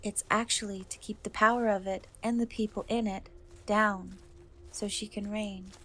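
A woman playing a gentle, wistful young woman cursed into a half-cat, in a soft, hesitant dark fantasy ASMR roleplay. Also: medium pitch, fairly even intonation. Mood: earnest. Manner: hesitant, soft, slow, often trailing off.

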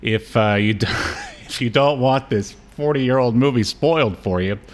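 A male podcast co-host with a mid-pitched voice chats casually about film. He rambles and pauses often, thinking aloud as he goes. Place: indoor room on a video call stream.

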